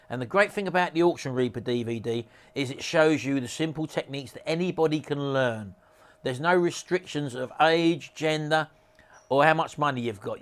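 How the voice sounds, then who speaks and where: Englishman with a slightly high-set voice. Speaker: presenter promoting an auction reselling course. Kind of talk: informal sales pitch to camera. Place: small cluttered home office.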